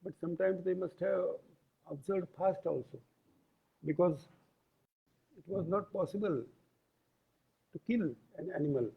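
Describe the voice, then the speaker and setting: Slow, husky man lecturing. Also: high-pitched. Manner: deliberate, pausing between short phrases. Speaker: yoga lecturer. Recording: tinny, phone-like band-limited audio.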